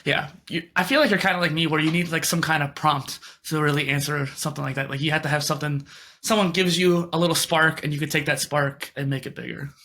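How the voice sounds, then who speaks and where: A man's voice: on the high side, steady tone, quick pace, sharp tone. A man hosting a podcast interview, conversational, encouraging and affirming. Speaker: podcast host.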